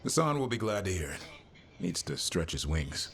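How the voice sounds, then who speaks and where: Deep, easygoing male game companion. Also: big pitch swings, moderate pace, creaky, a bit bright, slight background noise, exaggerated voice. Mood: relaxed, friendly. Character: easygoing male warrior companion fond of his animal. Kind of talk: video game dialogue.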